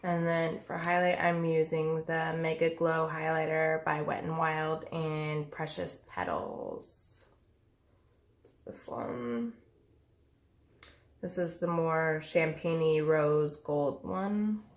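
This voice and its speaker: Stuffy-nosed woman doing a makeup tutorial, low voice, lots of ums, close camera mic. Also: takes it slowly. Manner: chatty, with many ums and uhs. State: nasal from a cold. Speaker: beauty vlogger. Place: bedroom by a window, indoors. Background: faint music and weed eater noise.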